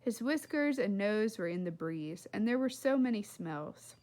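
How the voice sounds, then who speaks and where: Expressive woman reading a story aloud. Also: medium pitch, unhurried. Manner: expressive, with chatty asides to listeners. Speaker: teacher reading a children's book aloud. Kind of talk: animated read-aloud narration.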